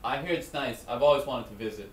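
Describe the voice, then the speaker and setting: A man's voice: medium pitch, fast talker, close to an everyday voice. A young man playing a friendly, chatty college roommate in an amateur comedy skit, talking casually and a bit nosily. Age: young adult. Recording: echoey room with faint background noise.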